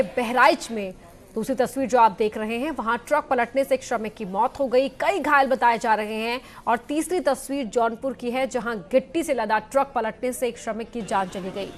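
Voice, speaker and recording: A woman's voice: fairly high pitch, slight background noise. A woman news anchor reading a scripted news bulletin.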